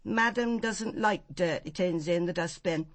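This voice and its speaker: A woman's voice: on the low side, measured pace, no room sound, lightly acted. An actress plays a disapproving English household servant in a radio drama. She is blunt and grudging, guarding her ground, and is heard very dry and close. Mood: defensive. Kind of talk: BBC radio drama acting.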